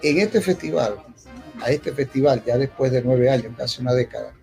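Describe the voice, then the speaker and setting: A Venezuelan man giving an unscripted public talk, reminiscing in a halting way and restating points with emphasis. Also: medium pitch, gravelly, darkish tone, faint music behind. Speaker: poet speaking at a poetry festival.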